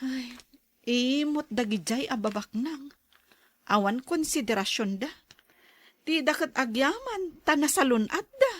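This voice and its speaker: Kindly, aggrieved farmer's wife played by a woman: high voice, emphatic, dry radio drama sound. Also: sing-song, unhurried, no echo, full character voice. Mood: concerned, candid. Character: aggrieved but kind-hearted village farm wife.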